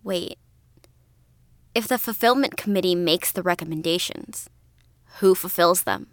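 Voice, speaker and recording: A woman's voice: lowish voice, unhurried, no room sound, clean studio sound. A woman playing a stubborn, questioning young daughter in a naturalistic audio drama, hesitant yet defiant. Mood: curious, puzzled.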